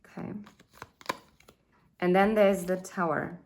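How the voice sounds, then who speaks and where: Woman, 30s, lowish voice, unhurried and chatty, tarot reader thinking out loud. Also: steady tone. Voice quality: says she has a loud voice. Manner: chatty, thinks aloud with fillers and asides. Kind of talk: casual tarot reading monologue.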